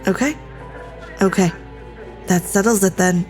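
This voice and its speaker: An actress playing a frightened but defiant crew member in a sci-fi horror audio drama, with a blunt manner. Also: female voice, medium pitch, a little slow, darkish tone, soft background music, natural voice. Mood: grim, resolute.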